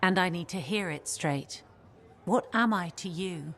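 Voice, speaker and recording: A woman's voice: on the low side, measured pace, a bit bright. An actress playing a direct, devoted woman in a video game cutscene. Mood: earnest.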